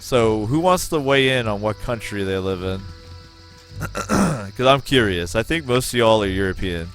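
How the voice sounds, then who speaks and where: An American man streaming a game, giving excitable, profane play-by-play and chatting with viewers. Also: fairly low pitch, a little slow, faint music behind. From United States. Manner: excitable, profane, talks to chat. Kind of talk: casual gameplay commentary.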